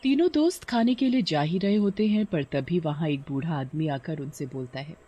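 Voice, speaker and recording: A man's voice: fairly high pitch, moderate pace, warm tone. A man narrating a scripted horror cartoon story as storyteller.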